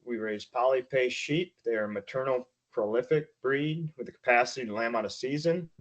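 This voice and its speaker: A man's voice: mid-range voice, brisk, low-quality recording. An American man from Michigan, a sheep farmer giving a prepared self-introduction with an American accent. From Michigan, USA.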